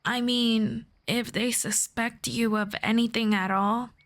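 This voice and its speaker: A woman voicing a gentle, teasing young elf friend in an unhurried fantasy roleplay audio drama in ASMR style. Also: a little high, fairly even intonation, lightly acted. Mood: concerned, curious.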